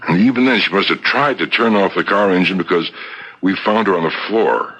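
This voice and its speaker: Deep, forceful police detective, old radio mystery. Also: male voice, brisk. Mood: accusing. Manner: accusing, methodical, insistent. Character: shrewd, relentless male police detective laying out a murder case. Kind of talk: 1970s radio mystery drama acting. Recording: dull 1970s radio broadcast recording.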